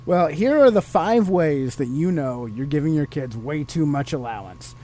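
A man's voice: a little high, animated, normal speed, warm tone. A man hosting a podcast, chatting casually and asking playful questions as if to a child. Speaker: podcast host.

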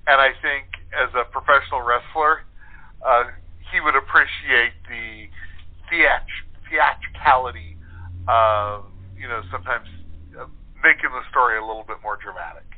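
An American man chatting as an interview guest, speaking in a hesitant way with pauses to find his words. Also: mid-range voice. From United States. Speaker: guest, playwright and author. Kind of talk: casual interview chat. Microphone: telephone. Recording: tinny narrow phone line.

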